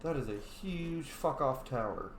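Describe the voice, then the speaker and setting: A young man playing a video game and roleplaying his character, excitable, sweary and playful. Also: medium pitch, measured pace, reverberant, slight background noise. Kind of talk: casual let's play commentary with in-character lines.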